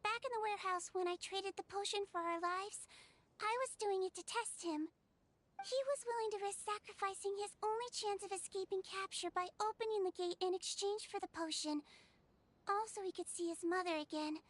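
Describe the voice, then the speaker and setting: A woman voicing a small, calm, kindly non-human girl nurse in a video game, speaking in a measured, reassuring way. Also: very high voice. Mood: calm, assured.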